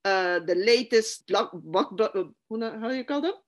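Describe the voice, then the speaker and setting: Spanish-native woman, halting with fillers. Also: medium pitch, lively intonation. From Cuba, raised in Curacao. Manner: hesitant, many fillers and restarts, laughs often. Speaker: podcast guest. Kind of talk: casual interview chat.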